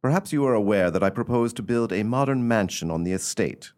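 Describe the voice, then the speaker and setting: A man's voice: lowish voice, expressive, a little fast, a little mellow, lightly acted. A man playing a haughty, dismissive landowning lord in a radio play, speaking stiffly and formally. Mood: guarded, dismissive.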